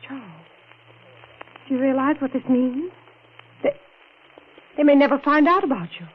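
A steady, calculating woman voiced by an actress keeps her head and takes charge in an old radio mystery. Her firm, reassuring voice comes through a thin, tinny broadcast with faint hiss. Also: high-pitched, normal speed, close to an everyday voice. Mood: scheming. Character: cool-headed woman shielding her lover. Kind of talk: 1940s radio acting.

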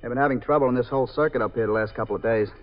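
A man's voice: mid-range voice, very fast, lightly acted. An American man playing a respectful, casual beat patrolman on night duty in a 1950s radio drama. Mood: respectful, puzzled. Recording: dull, reverberant 1950s radio broadcast.

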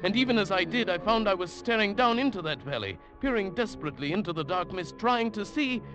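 An actor playing an uneasy traveller who narrates an eerie tale in a dramatic 1940s radio drama style. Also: male voice, high-pitched, expressive, fast talker, highs cut off. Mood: mounting dread. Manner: dramatic, hushed swelling to agitated. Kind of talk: 1940s radio drama acting, first-person scripted narration. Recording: old radio broadcast with music.

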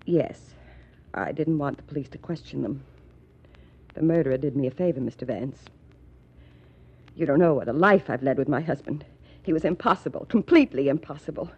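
Husky low voice, embittered widow, dramatic, earnest, muffled vintage radio drama. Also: female voice, normal speed, pauses often. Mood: bitter, confiding. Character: bitter, self-possessed widow of a cruel husband. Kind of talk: 1940s radio acting. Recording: muffled 1940s radio recording.

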